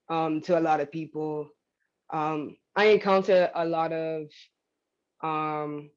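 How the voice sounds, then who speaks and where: A woman's voice: low voice, a little mellow, dull audio. A woman speaking as a panelist who rambles and laughs often between thoughts. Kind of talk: panel discussion.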